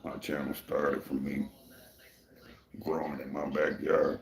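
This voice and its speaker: Very deep gravelly-voiced man. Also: flat delivery, slow pace, reverberant. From Michigan, USA. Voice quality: deep gravelly voice, people say it suits radio. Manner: laid-back and rambling, many "you know" fillers. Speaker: livestream host. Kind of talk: casual livestream chat answering viewers.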